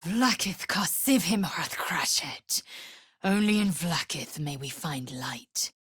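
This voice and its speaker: A woman playing a proud, fierce githyanki warrior in a fantasy video game, with a husky, raspy voice and a clipped, imperious, formal delivery. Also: deep voice, lively intonation, slow, a bit bright, no room sound, clean studio sound, full character voice. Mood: solemn.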